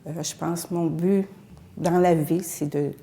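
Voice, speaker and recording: Gravelly low-voiced older woman activist. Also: steady tone, warm tone. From Alberta, Canada. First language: English. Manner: earnest, halting with frequent pauses. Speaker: feminist activist. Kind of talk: personal testimony.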